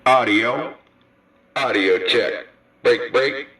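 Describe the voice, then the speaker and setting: Male radio operator voice, slow and forceful, CB speaker effect picked up from afar, clipped test calls. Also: mid-range voice. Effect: CB radio talkback and over-the-air speaker. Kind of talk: radio audio check, terse counting and test calls. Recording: distant, harsh CB radio speaker playback.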